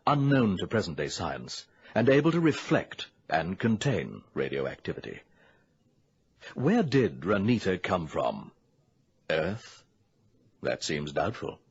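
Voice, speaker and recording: Deep, very husky male radio narrator. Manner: grave, deliberate storytelling. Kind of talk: scripted 20th-century radio drama narration. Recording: dull vintage radio recording.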